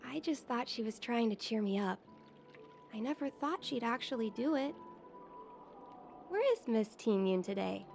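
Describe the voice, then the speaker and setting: A woman's voice: fairly high pitch, animated, normal speed, gravelly, pauses often, darkish tone. An actress playing an earnest young woman who owns a tea house in a video game. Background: game music.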